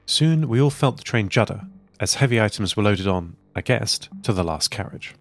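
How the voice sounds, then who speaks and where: A man with a low, gravelly voice tells the story in a calm, measured way, reading a scripted narration. Faint music runs softly beneath his words. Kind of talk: scripted narration of an audio drama.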